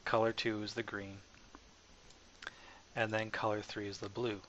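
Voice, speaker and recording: A man narrating a casual software tutorial as the developer, informal and hesitant, as if thinking aloud. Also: lowish voice, level tone, measured pace. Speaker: software developer presenting own asset package. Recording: dull lo-fi mic with faint background noise.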